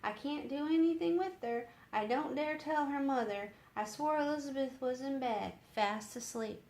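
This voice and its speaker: A woman's voice: high voice, moderate pace, darkish tone, pitched above the performer's own voice. A woman voicing a kindly, fretful old housemaid who talks in a plain, folksy way in a storybook. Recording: roomy echo with faint noise.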